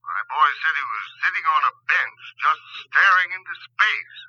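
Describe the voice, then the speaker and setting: An actor playing a plain-talking, obliging police inspector over a telephone in a 1940s radio drama, folksy and matter-of-fact. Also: male voice, fairly high pitch, expressive, moderate pace, pushed and bright, breathy edge, dry recording, dull audio, exaggerated voice.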